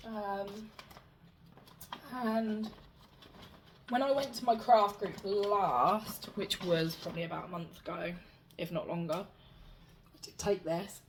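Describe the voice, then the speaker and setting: A young woman talks in a relaxed, rambling vlog manner. Her voice carries a slight rasp. She sounds self-deprecating and easygoing. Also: mid-range voice, slight background noise. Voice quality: slightly raspy. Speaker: cross-stitch vlogger. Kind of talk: casual show-and-tell chat.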